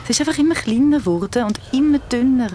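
A woman as a quietly grieving Swiss German friend. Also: sits high, fast, slight background noise, natural voice. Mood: subdued, accepting. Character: bereaved friend, quietly accepting a grandmother's death. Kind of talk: audio drama dialogue.

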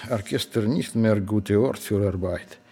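An actor playing a big, blunt Russian orchestra musician in a radio drama, with a Russian accent and broken German. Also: male voice, lowish voice, normal speed, mellow, slightly rough. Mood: bitter, resigned. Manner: broken German with dropped words. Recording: music behind the voice.

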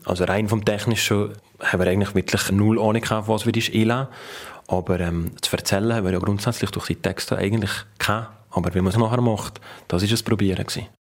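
A man's voice: on the low side, steady tone, quick pace. A man with a Valais Swiss German accent talking spontaneously in an interview, often adding tag questions. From Valais, Switzerland. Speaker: band member being interviewed.

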